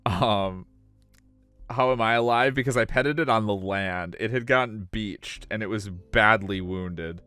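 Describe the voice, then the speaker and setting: A man's voice: on the low side, unhurried, pushed and bright, smooth, no echo, soft background music. A middle-aged man live streaming a visual novel, switching between casual chat and narration. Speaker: game streamer.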